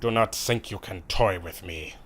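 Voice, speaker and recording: Cold gloating villain pilot, audio drama, faint ambience. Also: male voice, deep voice, normal speed, a bit bright, slight background noise. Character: menacing male villain pilot commander. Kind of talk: radio play acting.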